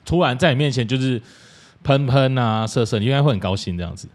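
A man's voice: medium pitch, expressive, quick pace. A Taiwanese man hosting a podcast, chatting casually and asking probing, playful questions. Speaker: podcast host. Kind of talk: casual, teasing interview chat.

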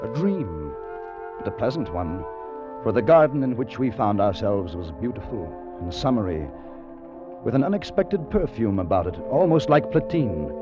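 A man's voice: on the low side, big pitch swings, normal speed. An actor playing a cautious, wonderstruck time traveller who narrates his adventure in a dramatic old-time radio style. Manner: dramatic, hesitant in dialogue. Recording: dull vintage radio recording with music.

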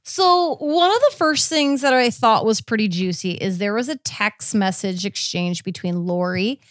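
Woman, podcast host, mid pitch, casual recap style, conversational explaining, very dry close sound. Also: expressive, normal speed, no room sound. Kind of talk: casual chat recapping a court case.

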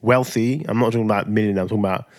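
A man's voice: fairly low pitch, steady tone, rapid-fire, darkish tone. A man, co-hosting a casual podcast chat, musing in a laid-back way with lots of restarts. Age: 30s. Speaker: podcast co-host.

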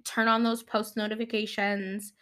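A young woman in her twenties hosts a podcast on her own, talking in a loose, playful ramble. She jokes around, laughs at her own lines, and speaks in a middle-pitched voice. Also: flat delivery, moderate pace, fairly bright tone. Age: 20s. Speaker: podcast host. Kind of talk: solo podcast chat.

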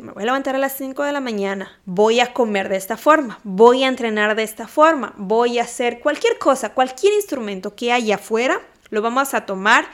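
A woman hosting a solo podcast, talking casually and animatedly, speaking straight to her listeners. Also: on the high side. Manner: animated, addresses listeners directly, occasional laughs. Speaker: podcast host.

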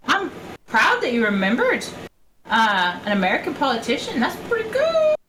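Bubbly, shrill-voiced woman podcast host. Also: huge pitch swings, moderate pace, over a noisy crowd. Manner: bubbly, laughs often. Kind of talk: casual podcast chat. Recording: echoey room with background noise.

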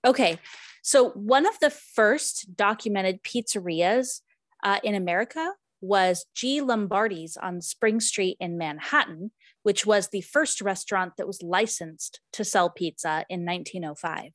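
A woman's voice: medium pitch, unhurried, no room sound, studio quality. A woman co-hosting a casual podcast, talking in a chatty way with many fillers and restarts. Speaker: podcast co-host. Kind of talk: casual chat.

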